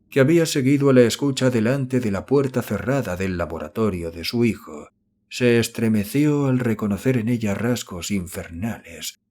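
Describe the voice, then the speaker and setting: A man reading a horror novel as an audiobook narrator, in a measured, solemn manner. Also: medium pitch, darkish tone.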